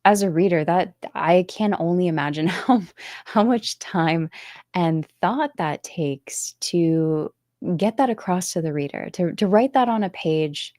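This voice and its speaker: A woman's voice: fairly low pitch, unhurried, darkish tone, dry recording, studio quality. A woman hosting a casual interview and chat about books. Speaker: host of a book interview show.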